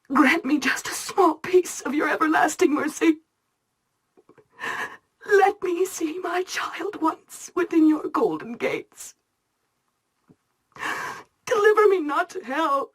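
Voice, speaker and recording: Video game role: anguished praying mother, half-whispered, very slow, high-pitched, penitent. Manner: breathy, half-whispered, pleading. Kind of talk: dramatic video game monologue.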